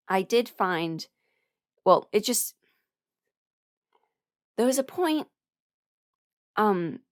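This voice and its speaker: A young adult woman talking frankly and haltingly in a candid personal ramble, often quoting her own thoughts as she goes. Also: medium pitch, no echo, clean studio sound. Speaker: voice performer sharing a personal ramble.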